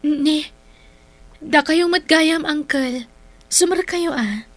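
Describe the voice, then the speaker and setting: A woman's voice: sits high, slow, no room sound. A woman acting the part of a dutiful young student daughter in love in a radio drama. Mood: polite, welcoming.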